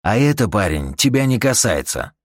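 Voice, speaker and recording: Casting: man playing a brash, impatient audiobook mercenary, low-pitched, curt and combative, with a dry close sound. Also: lively intonation, unhurried, dry recording.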